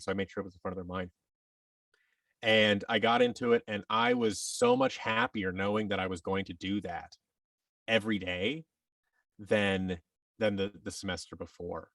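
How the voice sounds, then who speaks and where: A man telling his story casually as a podcast guest, reflective and halting, often acting out bits of quoted dialogue. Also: on the low side, creaky, fairly bright tone, dry recording, far from the mic. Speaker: podcast guest, actor and audio drama producer.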